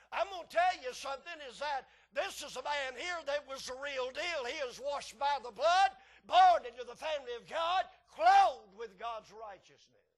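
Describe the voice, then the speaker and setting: An older man, a preacher with a big, loud voice, delivers a fervent evangelistic sermon in an emphatic, folksy way. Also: very high voice. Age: 60s or older. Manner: emphatic and folksy, swelling from quieter asides to shouts.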